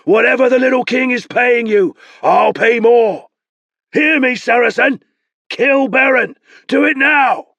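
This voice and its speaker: Bellowing ruthless warlord, as a man puts him on, voice pitched high and strained, shouting. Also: a little slow, creaky, no echo, dull audio, full character voice. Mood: commanding. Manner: bellowing, threatening. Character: ruthless male enemy shouting threats across the water.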